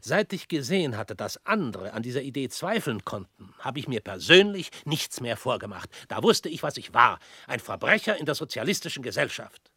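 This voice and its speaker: Brooding ideologue, hushed and then declaiming, radio drama, close dry mic. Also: male voice, medium pitch, very animated, normal speed, hardly any pauses, husky, no echo, exaggerated voice. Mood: fervent. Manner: intense, shifting between hushed whispers and raised declamation. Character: former interrogator turned self-declared criminal, man, intense.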